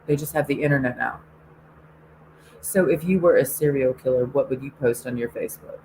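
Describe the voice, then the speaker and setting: Low-voiced woman ranting sarcastically. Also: fairly even intonation, fairly quick, warm tone, slight background noise, rough recording. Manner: sarcastic, laughs often, swears freely. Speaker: online show host. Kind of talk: casual ranting monologue.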